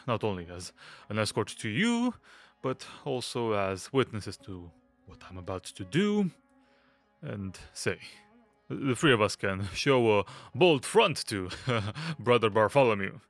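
A man playing a fussy, nervous, hypochondriac young gentleman in an audio drama, stammering and obsequious. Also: lowish voice, sing-song, unhurried, soft background music. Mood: eager, conspiratorial. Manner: stammering, fidgety, obsequious, nervous little laughs.

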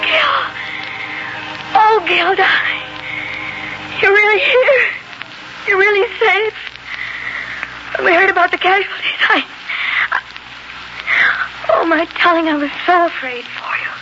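A woman's voice: very high-pitched, slow pace, pauses often. A woman playing a devoted, emotional young frontier wife with tender, gushing delivery in a 1940s radio drama. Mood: relieved, overwrought. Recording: dull old radio recording, loud music.

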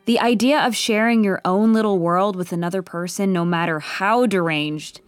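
A woman narrating an audio comedy as a wry, measured, all-knowing storyteller in a scripted style. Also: medium pitch, clean tone, faint music behind, natural voice. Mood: wry, wistful. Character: wry omniscient female storyteller.

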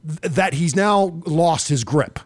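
Man, talk show host, fairly high pitch, emphatic, opinionated, casual political commentary, dry sound. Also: lively intonation, slow pace, dry recording. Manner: emphatic, opinionated, swears freely.

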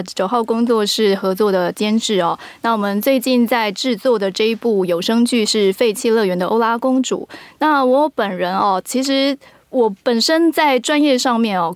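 A woman's voice: mid-range voice, no room sound. Earnest Taiwanese woman in a dry recording. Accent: Taiwanese Mandarin. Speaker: audio drama producer, podcast guest. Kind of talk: casual interview talk.

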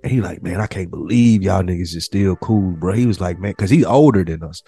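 A man's voice: low-pitched, brisk, dark tone, breathy edge, soft background music. A man tells stories in casual, slangy podcast chat, acting out what other people said. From Nashville, United States. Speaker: podcast co-host.

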